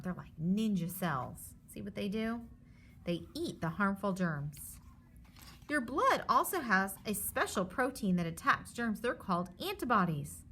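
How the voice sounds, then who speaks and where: A woman's voice: fairly high pitch, fairly bright tone, a little hiss or hum. A woman reading a children's book aloud in an instructive way, adding simple explanations and often checking that listeners follow.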